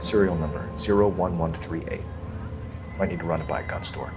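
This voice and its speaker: An actor playing an earnest, methodical young police officer in a crime drama game, speaking in a clipped, matter-of-fact way. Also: male voice, low voice, steady tone, fairly quick, natural voice. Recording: loud music behind the voice.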